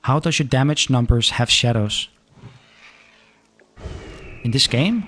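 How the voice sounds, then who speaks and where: A man's voice: medium pitch, slight background noise. A young man from Denmark with a Danish accent, chatting casually while streaming a game and answering viewer questions, often stopping to restart his sentences. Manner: halting, restarts often, relaxed. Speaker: gaming streamer.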